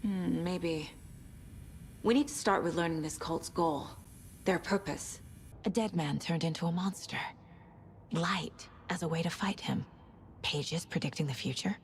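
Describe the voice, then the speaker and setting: A steady, level-headed female federal agent, voiced by a woman in a video game. Her voice sits a little low and stays calm and focused. Faint ambient sound hangs behind her. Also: a little slow, pauses often, a little hiss or hum, close to an everyday voice. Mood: thoughtful. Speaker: FBI agent investigating murders. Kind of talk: game voice acting.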